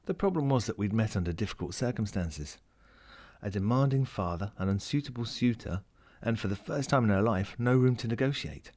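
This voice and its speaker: A man's voice: fairly low pitch, lively intonation, a little fast, a little mellow. A man voicing a lonely, wry lost ring as he reads a first-person short story in a dramatic, melancholic way. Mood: wistful. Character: lonely, world-weary, wry sentient ring lost at sea.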